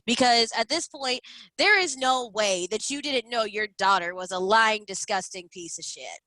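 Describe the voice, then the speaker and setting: Emphatic, bright-voiced young woman. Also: a little high, moderate pace, no echo, low-quality recording. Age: early 20s. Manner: blunt, foul-mouthed, emphatic. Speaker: podcast co-host. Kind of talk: casual true-crime comedy chat.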